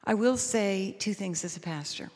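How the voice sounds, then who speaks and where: A woman pastor giving reflective, sermon-like panel remarks, measured and emphatic, often repeating phrases. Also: medium pitch, darkish tone, husky. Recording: echoey hall with long reverb.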